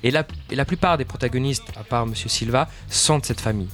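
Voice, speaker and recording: A man from Portugal, a film director being interviewed, talking fast and searching for words as he explains at length. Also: medium pitch, a bit bright, soft background music. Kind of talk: interview answers.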